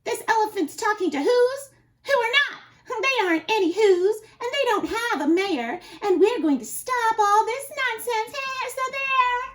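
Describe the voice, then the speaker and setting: Rude jungle monkeys jeer loudly in high, scornful voices, as a woman puts them on for a storybook. They sound bossy and mocking. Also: lively intonation, a little slow, exaggerated voice. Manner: shouting, scornful.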